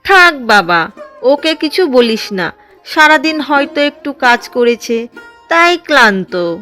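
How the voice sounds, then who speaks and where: Woman acting a high-voiced scheming older mother-in-law. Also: very animated, takes it slowly, pushed and bright, soft background music, full character voice. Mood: feigned grievance. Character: scheming older village mother-in-law, jealous of new bride. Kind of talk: Bengali cartoon drama dialogue.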